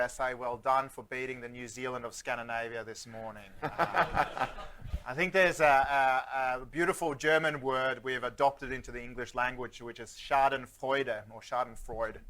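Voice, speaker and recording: A man's voice: mid-range voice, sharp tone. A man with a Swedish-Australian accent speaks from a conference stage as a futurist keynote speaker. His voice sits in an ordinary middle range, and he jokes and throws questions to the crowd as he goes. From Sweden. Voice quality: joking, engages the audience with questions. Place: conference stage before a live audience.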